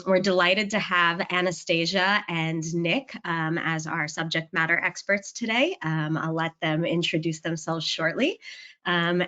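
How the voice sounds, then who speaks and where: A woman's voice: lowish voice, a bit bright. A woman moderating a business webinar, upbeat and friendly, with frequent ums. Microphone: video call. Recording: muffled video call audio.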